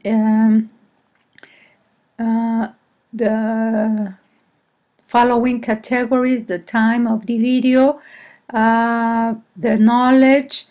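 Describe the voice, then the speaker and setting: A woman teacher with a Mexican accent, presenting to colleagues in a hesitant, halting way and often correcting herself. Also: fairly high pitch, flat delivery, a little mellow. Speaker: English teacher. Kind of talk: screen-recorded presentation to colleagues.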